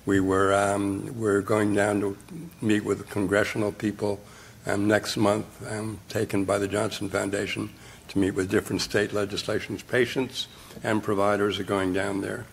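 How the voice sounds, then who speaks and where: Gravelly older man giving a keynote. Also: fairly low pitch, level tone, normal speed, a little hiss or hum. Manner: blunt, wry, punchy short phrases. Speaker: keynote speaker. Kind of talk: conference keynote talk. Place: hotel conference room. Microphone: podium mic, filmed from the audience.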